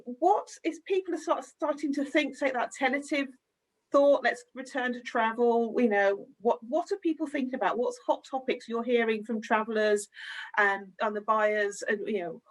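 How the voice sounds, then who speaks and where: Woman, higher voice, friendly moderator, rambling questions, video call. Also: poor audio. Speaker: panel moderator. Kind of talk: moderating a webinar panel.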